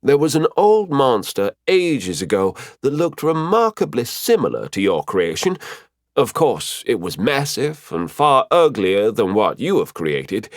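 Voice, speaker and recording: Man voicing a cheerful, high-pitched female spirit mentor. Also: big pitch swings, unhurried, dry recording, studio quality. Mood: explaining. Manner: chatty, explanatory, fond. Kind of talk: audiobook character voice.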